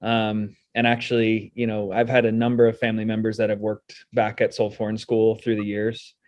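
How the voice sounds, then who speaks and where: American man, even-toned interview guest. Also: fairly low pitch, normal speed, no echo, highs cut off. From United States and South Korea. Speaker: school sports director, interview guest. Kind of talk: casual interview answers.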